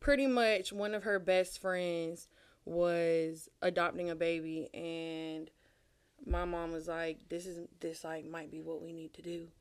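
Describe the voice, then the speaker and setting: A young woman guest telling her life story in a relaxed, casual way, swearing now and then. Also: fairly low pitch, a little slow, smooth. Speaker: podcast guest. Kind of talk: casual chat interview.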